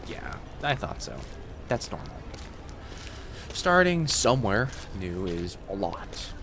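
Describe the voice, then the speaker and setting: Man playing a young male kitsune student, lowish lively voice, friendly, teasing, reassuring, roleplay audio drama, noisy ambient background. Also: slow pace. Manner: soft-hearted, reassuring, casual conversational delivery. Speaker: voice actor. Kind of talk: immersive roleplay audio drama, addressed to the listener.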